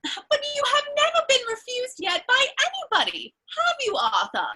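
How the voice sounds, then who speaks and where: A woman's voice: extremely high pitch, huge pitch swings, normal speed, sharp tone, heavily acted. An actress playing a witty, teasing young society lady in a comedy of manners, with an arch, theatrical and playfully scolding manner. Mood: delighted affection. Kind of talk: stage comedy of manners, dramatic reading.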